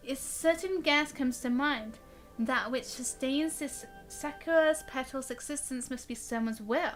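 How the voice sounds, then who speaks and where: A woman with a high, bright voice commentating live on a game stream. She is chatty and expressive, switching between reacting and reading text aloud, with game music in the background.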